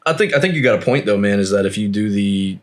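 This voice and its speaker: A man with a very low voice hosts a podcast in an easygoing, unscripted way. He talks loosely and swears freely, tossing in "man" and "dude" as he goes. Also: fast. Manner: relaxed, profane, peppers talk with "man" and "dude". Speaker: podcast host. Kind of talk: casual conversation.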